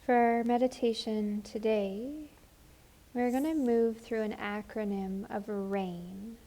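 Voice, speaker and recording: A woman leading a guided meditation as a teacher, speaking gently and soothingly, with unhurried phrases and long pauses. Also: fairly high pitch, fairly even intonation.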